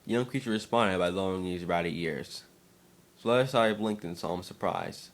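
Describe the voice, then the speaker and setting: A man with a fairly low voice serves as narrator. He gives a dramatic reading of fan fiction in a slightly halting delivery.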